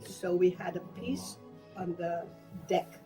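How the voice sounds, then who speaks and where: A woman's voice: on the low side, flat delivery, takes it slowly, over music. An older woman from Burma giving a personal reminiscence in a plain-spoken, emphatic way. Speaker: interviewee recalling wartime life.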